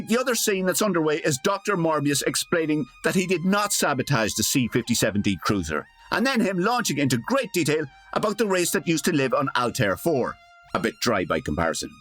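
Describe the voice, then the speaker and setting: A man's voice: on the high side, fairly bright tone, exaggerated voice. A man playing a chatty, apologetic narrator of a comic old-time radio show, making wry asides.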